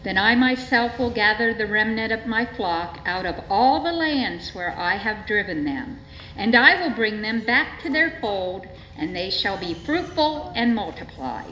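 A woman gives a formal scripture reading in a measured, solemn manner at a church service. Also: medium pitch, animated, bright, forceful tone. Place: indoors in a church. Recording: echoey church, dull sound, faint noise.

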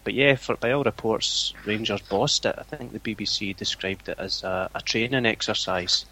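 A Scottish man chatting casually on a podcast as the guest, with a flat, even way of talking that he calls boring. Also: lowish voice, normal speed, poor audio. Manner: flat, self-described boring voice. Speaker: forum owner, guest. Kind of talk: casual chat.

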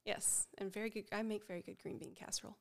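A woman co-hosting a casual podcast chat with a head cold, sounding nasal and froggy, chatty and quick to laugh, with many fillers. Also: mid-range voice, little pitch movement. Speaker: podcast co-host.

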